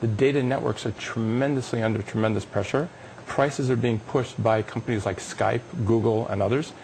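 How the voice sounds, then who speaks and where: A man's voice: medium pitch, normal speed, darkish tone, slight background noise, low-quality recording. A man being interviewed as a company executive on a live business TV show, explaining technology with emphatic enthusiasm.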